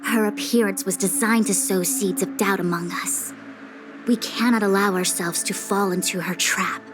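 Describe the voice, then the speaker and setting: A woman's voice: medium pitch, fairly even intonation, normal speed, music in the background, lightly acted. An actress voicing a stern, commanding woman leader in a game, speaking formally and with authority. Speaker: game character. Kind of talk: game dialogue voice acting.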